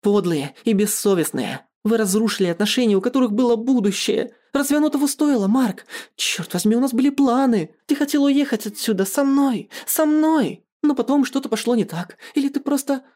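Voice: mid-range voice, fairly quick, few pauses, lightly acted. A performer voicing a furious, betrayed young woman in an audiobook story, accusing in explosive, hurt outbursts. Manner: shouting, growling, accusing outbursts.

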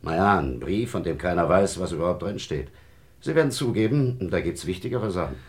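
A male district attorney in a radio crime play, deep but very lively in intonation. He is brisk and sceptical, with a patronising, dismissive manner. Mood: jovial, dismissive. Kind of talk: German radio crime drama acting.

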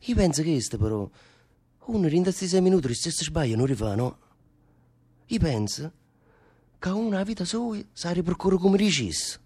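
A man's voice: a little high, sing-song, normal speed, long pauses, darkish tone, husky. An actor playing a brooding, fatalistic man facing death, speaking earthy, insistent dialect with a southern Italian accent in a theatre drama. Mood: musing. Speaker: stage actor in a radio theatre play.

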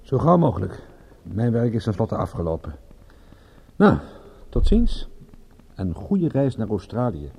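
Low-voiced, wry private detective. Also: male voice, big pitch swings, a little slow, vocal fry, long pauses, mellow, husky, exaggerated voice. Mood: dry, probing. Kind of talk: radio drama acting in Dutch. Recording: mildly noisy radio play recording.

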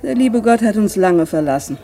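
A woman's voice: mid-range voice, lively intonation, normal speed, mellow, faint music behind, natural voice. An actress playing an anxious, despairing middle-aged mother in a German radio drama, fretful and bitter. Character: anxious, despairing mother keeping watch at night.

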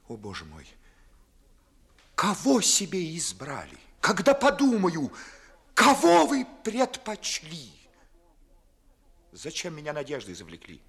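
An actor playing a proud, wounded, bitterly disillusioned young gentleman in a 1950s audio play, declaiming verse theatrically. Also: on the high side, full character voice.

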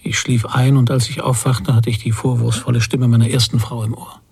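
A man's voice: mid-range voice, level tone, a little fast, gravelly, mellow, husky. A man playing a bitter, guilt-ridden middle-aged father in a radio drama, thinking aloud in terse fragments. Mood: numb, brooding. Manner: terse, fragmented inner monologue. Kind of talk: German radio drama interior narration.

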